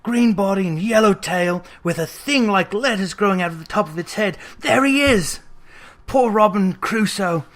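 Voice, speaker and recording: A narrator voicing an old man in a classic storybook, childishly excited and exclaiming between laughter and tears. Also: mid-range voice, normal speed, voice pushed up, lightly acted. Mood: thrilled.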